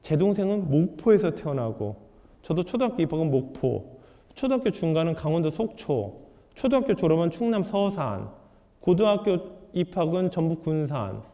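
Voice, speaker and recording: A man giving an author's lecture to an audience, putting rhetorical questions to the room in a lively way. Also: on the high side, normal speed. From South Korea. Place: lecture hall. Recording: reverberant lecture hall.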